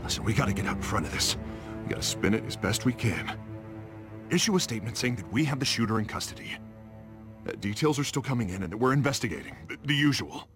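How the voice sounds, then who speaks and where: A man's voice: mid-range voice, big pitch swings, fast talker, music in the background, lightly acted. A man playing a frustrated, image-minded district attorney in a video game, speaking emphatically. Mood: urgent, calculating. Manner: emphatic, exasperated. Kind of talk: video game drama acting.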